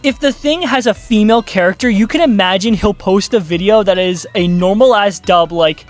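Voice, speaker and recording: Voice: medium pitch, moderate pace, faint music behind. A video commentator talking casually in an exasperated, sarcastic way and swearing freely. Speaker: YouTube video essayist. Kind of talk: casual commentary with scripted narration.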